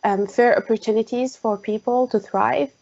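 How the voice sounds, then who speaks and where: A woman's voice: a little high, fairly even intonation, moderate pace, creaky, a little mellow. Muffled woman with a Jordanian Arabic accent. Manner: hesitant, many "um" fillers. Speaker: tech specialist guest. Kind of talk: online panel conversation. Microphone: video call. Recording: muffled lo-fi online call.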